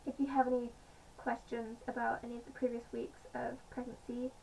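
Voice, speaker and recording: A woman with a slightly croaky voice, chatting casually in a home vlog with many pauses and fillers. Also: fairly high pitch, flat delivery, warm tone. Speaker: vlogger. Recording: distant mic, faint background noise.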